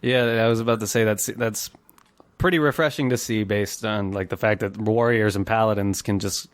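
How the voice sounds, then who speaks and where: A man's voice: on the low side, fairly even intonation, normal speed, no echo. A man hosting a casual gaming podcast, chatty and explanatory, with an easy laugh. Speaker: podcast host.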